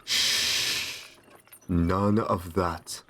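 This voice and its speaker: A man playing a courtly, soothing vampire in an ASMR roleplay, speaking softly and genteelly with a possessive kindness. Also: low voice, fairly even intonation, slight background noise, full character voice. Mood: apologetic, firm. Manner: soft, soothing, genteel, unhurried.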